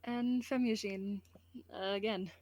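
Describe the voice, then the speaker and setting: A woman's voice: mid-range voice, fairly even intonation, a little slow, darkish tone, no echo. A woman talking casually as a guest voice actor in an outro chat.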